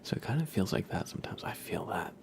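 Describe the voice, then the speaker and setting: A livestream host speaking softly, often in a whisper, chatting casually and gently with viewers in an ASMR style. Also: sits low, sing-song, fast talker, creaky. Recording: music behind the voice. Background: plucked guitar playing.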